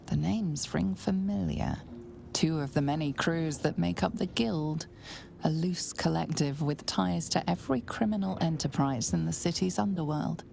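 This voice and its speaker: A woman playing a knowing, grave narrator in a game, with a dark, creaky voice. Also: normal speed. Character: hushed, knowing narrator of inner thoughts. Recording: faint noise and rumble.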